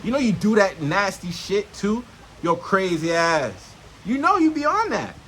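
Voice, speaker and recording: A man's voice: high voice, sing-song, measured pace, a bit bright, a little hiss or hum, rough recording. A man talking casually and unscripted to camera, teaching in streetwise slang with frequent swearing and checking in with the listener. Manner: punctuates points with checking questions to the listener. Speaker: self-help vlogger. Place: outdoors in nature.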